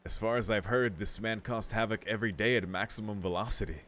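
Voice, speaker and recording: A man's voice: on the low side, fast talker, fairly bright tone. A man hosting a gaming video, reading aloud and commenting in a casual, rambling, slangy way.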